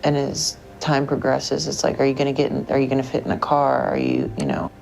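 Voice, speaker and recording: A woman's voice: rumbling low, little pitch movement, brisk, a little mellow, rough recording. An American woman talking about personal matters as a patient in a counseling session, with hesitant phrasing. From Portland, Oregon, United States. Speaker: therapy patient. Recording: clear background room noise.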